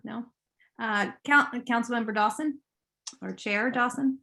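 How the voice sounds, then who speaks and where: A woman on a video call meeting, taking roll and asking questions in a brisk, businesslike way. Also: on the high side, creaky, bright, forceful tone. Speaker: meeting clerk.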